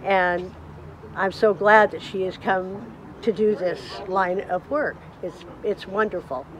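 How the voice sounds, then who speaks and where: A woman in her sixties is interviewed at an outdoor red carpet event. She speaks gently and haltingly, pausing between phrases. She is recorded on a handheld mic outdoors. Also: medium pitch, steady tone, low-quality recording. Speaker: interviewee, proud mother.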